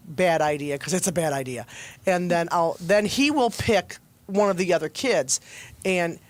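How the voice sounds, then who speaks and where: A woman chatting casually on a talk show, telling stories in a jokey, animated way and acting out bits of conversation. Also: fairly low pitch. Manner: chatty, jokey storytelling with acted-out quotes. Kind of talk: animated casual chat and storytelling.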